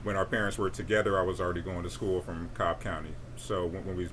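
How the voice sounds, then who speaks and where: A man's voice: fairly even intonation, quick pace, a bit bright, slight background noise, poor audio. A young man, chatting casually on a podcast, laid-back and rambling with many fillers. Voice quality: deep voice. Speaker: podcast co-host. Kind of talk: casual chat.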